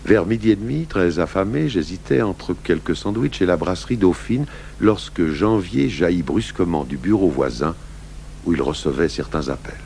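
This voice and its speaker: A gravelly police commissioner narrates in a low, creaky voice. His storytelling is dry and reflective, on an old radio drama recording with faint noise. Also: expressive, normal speed, a little mellow. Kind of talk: scripted first-person radio drama narration. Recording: hummy, noisy old radio broadcast.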